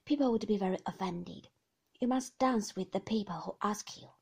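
A woman reading the part of an earnest, stiffly correct young gentleman in an audiobook, in a serious, proper manner. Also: medium pitch, moderate pace, gravelly, breathy edge.

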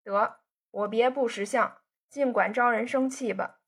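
A woman reading a storybook voices a spoiled young rich man who teases his sweetheart with easy banter. The tone sits fairly high with a husky, breathy edge. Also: moderate pace, fairly bright tone. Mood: teasing. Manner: bantering, coaxing. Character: teasing young man, playful rich son.

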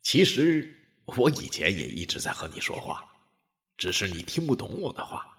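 Friendly low-voiced old dog. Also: male voice, sing-song, moderate pace, creaky, a bit bright, heavily acted. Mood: frank, gentle. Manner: easygoing, eager, chatty. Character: friendly old dog, a space explorer's loyal companion. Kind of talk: radio drama acting.

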